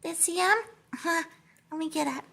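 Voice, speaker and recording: A puppeteer voicing a sweet, excitable childlike lamb puppet with a squeaky voice and halting, giggly manner for a children's show. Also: vocal fry, full character voice. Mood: eager, effortful.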